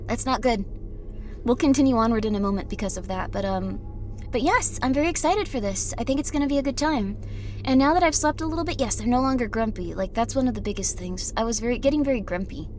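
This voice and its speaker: A tired woman streaming a game, chatting casually in a slightly raspy voice, rambling with many fillers. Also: fairly high pitch, quick pace, a little mellow, slight background noise. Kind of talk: casual chat while playing a game.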